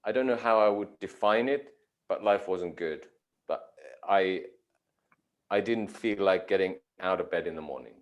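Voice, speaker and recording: A man from Finland, a yoga teacher giving measured, thoughtful interview answers with frequent pauses. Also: low voice. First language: Finnish.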